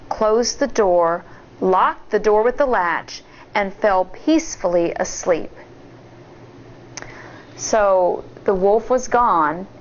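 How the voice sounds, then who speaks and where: A woman teacher reading a storybook aloud to children with deliberate, expressive delivery and pausing to comment. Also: medium pitch, dull audio, a little hiss or hum.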